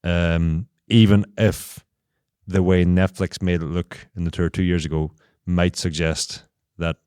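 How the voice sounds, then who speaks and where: A man's voice: low-pitched, flat delivery, unhurried, darkish tone, smooth, no echo, clean studio sound. A man co-hosting a casual podcast chat, analytical, working through long explanations with restarts. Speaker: podcast co-host.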